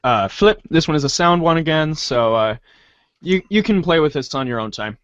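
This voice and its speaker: A man's voice: on the high side, animated, moderate pace, a bit bright, clean tone, dry recording, highs cut off. A man casually hosting a readers' theater show.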